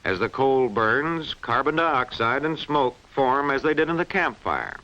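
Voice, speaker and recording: Bright-voiced man narrating an old educational film. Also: mid-range voice, very animated, measured pace. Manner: clearly enunciated, didactic delivery. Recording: dull, band-limited vintage film soundtrack.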